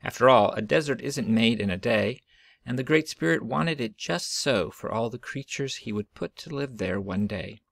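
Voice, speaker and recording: Man, children's storybook reader, mid-pitched voice with some fry, dry sound. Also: expressive, moderate pace, dry recording. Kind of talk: expressive read-aloud of a children's picture book.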